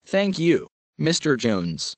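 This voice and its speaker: A man voicing a polite, deferential teenage orphan boy who is quietly afraid. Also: a little high, big pitch swings, slow pace, no echo, heavily acted. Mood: nervous polite.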